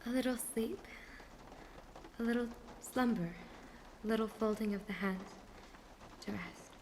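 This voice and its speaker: A woman's voice: a little high, a little slow, a little mellow, a little hiss or hum. An actress playing a frail, despairing young woman in delirium in a radio drama, faint and dreamy. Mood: feverish, fading. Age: young adult.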